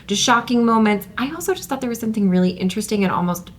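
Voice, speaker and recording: A woman's voice: a little high, rapid-fire, a little hiss or hum. A woman hosting a screenplay table read and reading out material as its narrator. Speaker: host and stage-direction reader.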